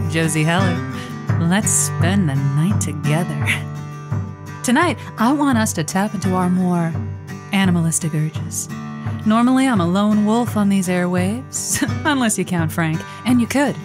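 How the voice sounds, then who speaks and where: A woman's voice: on the low side, animated, a little slow, over music. A woman playing a teasing, flirty late-night radio host in an audio drama, prickly when off the air. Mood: sultry, playful.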